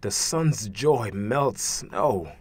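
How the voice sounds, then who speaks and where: A man's voice: on the low side, animated, drawn-out words, husky, exaggerated voice. A man playing an intense, wounded teenage boy reciting slam poetry with emphatic, rhythmic, emotional delivery. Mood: defiant, freed.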